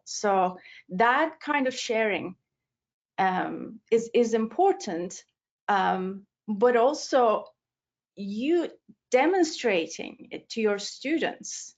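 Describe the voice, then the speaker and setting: Brief: woman interviewer, admiring, halting with fillers, slightly muffled call audio. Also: mid-range voice. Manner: warm, admiring, hesitant with many fillers. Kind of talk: conversational interview.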